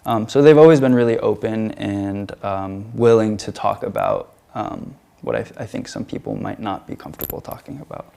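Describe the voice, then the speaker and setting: A man's voice: on the low side, normal speed, creaky, few pauses, warm tone. A young man from the United States, a featured artist answering interview questions in a hesitant way, with many fillers and restarts.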